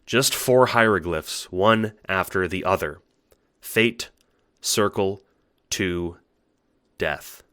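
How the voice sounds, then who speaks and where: A man's voice: sits low, takes it slowly, long pauses, bright, forceful tone, full character voice. A man playing a meek, earnest young male troll who talks with a hesitant, apologetic stammer in an audio drama monologue. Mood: earnest, intrigued. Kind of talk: audio drama monologue, recounting adventures as a log.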